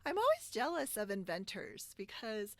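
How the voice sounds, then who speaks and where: A woman's voice: medium pitch, huge pitch swings, normal speed, a bit bright, no echo. A chatty woman with a mid-range voice talks casually and giggles easily. She has a bubbly manner, and the recording is fairly dry, from an indoor video call. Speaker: podcast host. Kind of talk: casual chat about sewing favorites.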